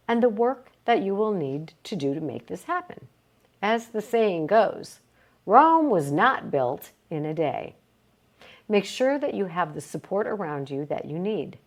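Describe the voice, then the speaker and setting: A woman reading a motivational self-help book aloud, with emphatic, deliberate phrasing and short pauses. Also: mid-range voice, sing-song. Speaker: reader of a book read aloud.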